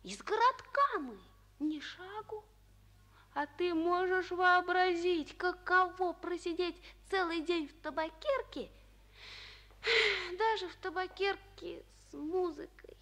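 A woman's voice: a bit bright, exaggerated voice. An actress in a 1940s radio play voicing a small, plaintive bell-boy from a music box, with ringing, sing-song speech. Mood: wistful, complaining. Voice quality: high, childlike, ringing. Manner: drawn-out sing-song with chiming syllables. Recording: old 1940s radio recording.

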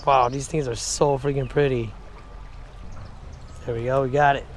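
A man's voice: medium pitch, steady tone, normal speed. A man chatting casually to camera as he fishes by a river, slangy and full of exclamations, recorded on an action camera. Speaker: fishing vlogger. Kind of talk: casual excited chat to camera while fishing. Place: outdoors beside a shallow river. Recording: outdoor action camera with background noise.

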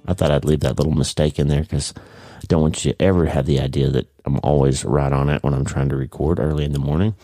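Deep, dark-toned man with a congested voice; easygoing podcast host talking casually from home. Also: little pitch movement, fast, dry recording, soft background music. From United States. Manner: warm, chatty, leaves in his own slips. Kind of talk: casual spoken podcast monologue. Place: home, early morning.

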